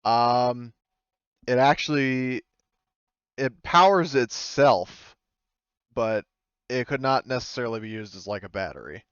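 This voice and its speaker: A man's voice: mid-range voice, clear, resonant tone, no room sound, dull audio. A man running a tabletop game over a voice call, improvising his descriptions casually and breaking off often to rephrase. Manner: halting, frequent self-interruption. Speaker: game master. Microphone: online voice call.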